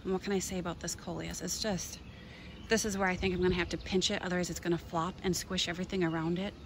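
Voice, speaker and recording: A woman's voice: lowish voice, flat delivery, fast, slight background noise. A woman from Minnesota chatting enthusiastically as she gives a casual tour of her container garden outdoors, filming on a handheld phone. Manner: enthusiastic, chatty, pointing things out. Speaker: gardening vlogger.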